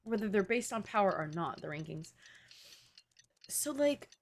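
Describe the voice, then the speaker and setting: Animated young woman streamer. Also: medium pitch, normal speed. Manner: slangy, reactive, rambling. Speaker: game streamer. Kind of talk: casual livestream commentary. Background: game music.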